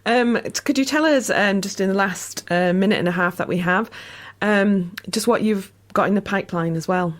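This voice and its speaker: A woman's voice: mid-range voice, normal speed. A woman interviewing a guest on the radio in a conversational way, as the host of the programme.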